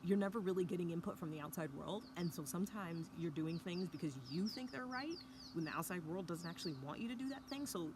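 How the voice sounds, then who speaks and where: A woman talking casually to camera in a hushed early-morning voice, recording outdoors by a fire pit with a mountain view. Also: on the low side, fast. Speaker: vlogger. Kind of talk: casual reflective talk to camera. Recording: outdoor ambience with background noise.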